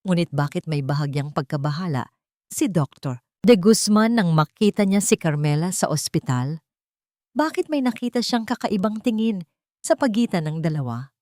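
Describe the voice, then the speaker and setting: Female storyteller, lower register, close dry sound, scripted dramatic narration. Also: animated, normal speed, a little mellow, no echo.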